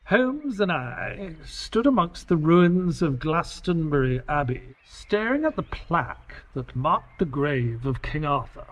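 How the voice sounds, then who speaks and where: Lively higher male voice, man acting as earnest detective's sidekick narrator, scripted audio drama, music behind. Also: slow pace, few pauses, full character voice. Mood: storytelling.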